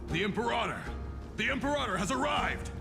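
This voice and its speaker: Fervent male warlord, gravelly, high for a man, declamatory, video game fantasy, music behind. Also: lively intonation, fairly quick, heavily acted. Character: fervent, loyal male warlord hailing his emperor. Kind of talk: dramatic video game voice acting.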